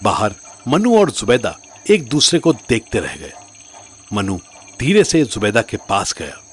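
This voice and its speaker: Lively male narrator of an audio drama. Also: on the low side, measured pace, a little hiss or hum. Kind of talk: dramatic scripted story narration.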